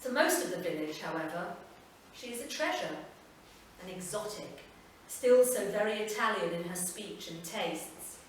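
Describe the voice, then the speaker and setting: A woman reads a literary story aloud at a live festival reading. She is captured from a distance, so her voice sits back in a very echoey room with a faint hum of ambience behind her. Her reading is measured and unhurried, and her lines settle softly at the ends. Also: medium pitch, animated. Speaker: reader.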